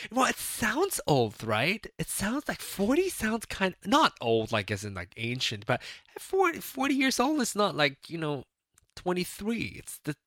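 A man in his late thirties chats on his own podcast in a rambling, easygoing way, often breaking into laughter. His pitch swings widely up and down. The recording is dry and close, with no room sound. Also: fairly bright tone, no room sound. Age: 30s. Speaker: podcast host. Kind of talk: casual solo podcast chat.

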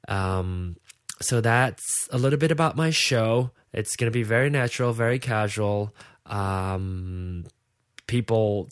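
A man's voice: deep voice, gravelly, no echo, studio quality. A sleepy man with a Malaysian accent, a native Hokkien speaker, hosting a casual unscripted podcast in a hesitant, rambling way. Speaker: podcast host.

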